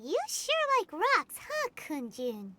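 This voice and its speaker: A woman voicing a tiny, excitable, cheeky fairy companion in a video game, with a squeaky childlike voice. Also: takes it slowly, heavily acted. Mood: delighted, curious. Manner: speaks of herself in third person, exclamatory. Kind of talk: video game character acting. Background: playful game music.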